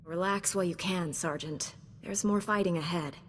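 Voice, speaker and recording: A woman's voice: lowish voice, normal speed, a little hiss or hum, lightly acted. Woman playing a composed space knight in reverb. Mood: tactful, measured. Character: composed, kindly female Jedi, dryly tactful leader. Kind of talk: scripted video game acting.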